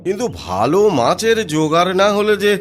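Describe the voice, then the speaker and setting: A man's voice: high-pitched, big pitch swings, moderate pace, slight background noise. A man voicing a pompous, demanding village landlord in a cartoon, lordly in manner. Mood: self-important. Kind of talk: Bengali cartoon dubbing.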